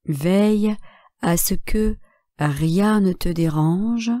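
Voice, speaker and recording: Female meditation guide, soft-edged mellow tone, dry close sound, measured and calm delivery of short relaxation cues. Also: mid-range voice, no room sound. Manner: calm, measured, instructing in short phrases with long pauses. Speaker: yoga nidra instructor.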